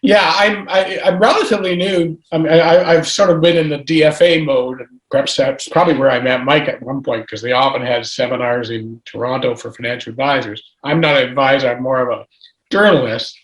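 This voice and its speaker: A man's voice: medium pitch, steady tone, brisk. Rambling journalist man in an echoey room. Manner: hesitant, rambling, restarts often. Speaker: financial journalist. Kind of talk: casual panel discussion.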